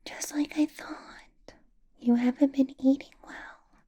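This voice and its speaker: A woman's voice: high voice, fairly even intonation, warm tone. Woman playing a whispering, possessive vampire boss lady. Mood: doting concern. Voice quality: breathy whisper. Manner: slow, teasing, intimate personal-attention delivery. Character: possessive, doting female vampire boss, coolly commanding. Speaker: ASMR roleplay performer. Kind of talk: ASMR roleplay, whispered.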